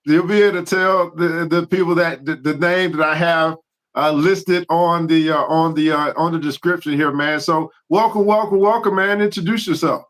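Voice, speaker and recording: A man with a fairly high voice hosts an interview in a relaxed way. He rambles, restarts his sentences and keeps calling his guest "man.". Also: normal speed. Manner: loose, rambling, friendly, says "man" a lot. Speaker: show host. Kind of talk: casual interview hosting.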